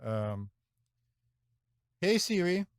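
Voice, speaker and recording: A man's voice: high-pitched, sing-song, extremely slow, clear, resonant tone, no echo, clean studio sound. Russian-accented man, casual and rambling. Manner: rambling, hesitant with many fillers. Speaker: podcast host. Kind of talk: casual chat.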